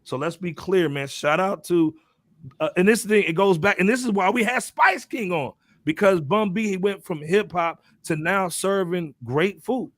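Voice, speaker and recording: A man's voice: high-pitched, moderate pace, fairly bright tone, no room sound, clean studio sound. A man hosting a hip hop podcast in a casual, slangy, informal way, often punctuating his lines with "man". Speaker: podcast host.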